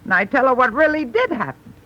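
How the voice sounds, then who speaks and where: A woman's voice: sits high, big pitch swings, fast talker, pushed and bright, exaggerated voice. An actress playing a wry, kindly, plain-spoken old grandmother in a 1930s radio comedy. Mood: wryly advising. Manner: folksy, wry. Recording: dull 1930s radio recording.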